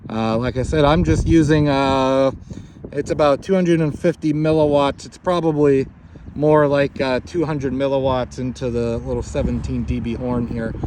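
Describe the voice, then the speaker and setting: A man with a mid-pitched voice talks as an amateur radio operator in a casual, technical exchange. His delivery is halting, and he is recorded outdoors with clear street and vehicle ambience around him. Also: steady tone, noisy background. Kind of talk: casual ham radio contact, giving call signs and signal reports.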